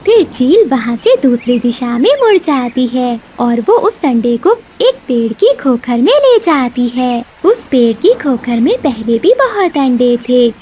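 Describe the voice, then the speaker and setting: High female voice, animated intonation, scripted cartoon narration, storyteller, noisy ambient background. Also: measured pace, few pauses.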